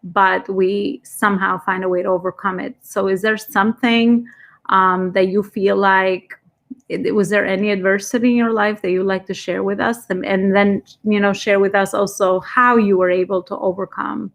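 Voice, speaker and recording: Female podcast host, fry in the voice, loose conversational delivery. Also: medium pitch, level tone, moderate pace, a bit bright. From immigrant, came at fifteen. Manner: rambling, encouraging, many fillers. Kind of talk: casual interview chat.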